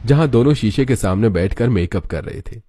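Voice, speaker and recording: A man's voice: medium pitch, animated, brisk, mellow, breathy edge. A man narrating a scripted cartoon story. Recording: music behind the voice. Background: background music.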